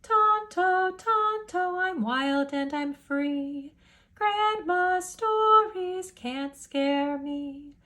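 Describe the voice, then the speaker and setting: A woman's voice: extremely high pitch, drawn-out words, sharp tone, pitched above the performer's own voice, exaggerated voice. A woman voicing a bold, cheeky little boy in a storybook, boastful and singsong. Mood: bold, singing.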